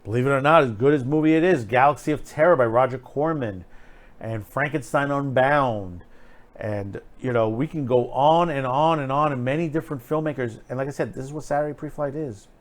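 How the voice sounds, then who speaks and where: Man giving rambling, emphatic commentary. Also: medium pitch. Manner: rambling, halting, emphatic, repeating phrases. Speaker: host of an online film commentary show. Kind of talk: unscripted opinionated commentary.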